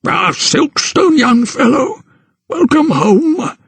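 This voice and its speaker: A man voicing a genial older male doctor who greets someone in a drawn-out way. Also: very high voice, sing-song, gravelly, a bit bright, breathy edge, no room sound, pitched above the performer's own voice, exaggerated voice. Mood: welcoming.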